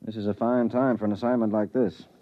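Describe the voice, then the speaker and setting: A man's voice: fairly low pitch, level tone, fast, natural voice. A man playing a harried, married investigator, skeptical but earnest, in 1950s radio drama style. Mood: rueful, puzzled. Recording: muffled vintage 1950s radio recording.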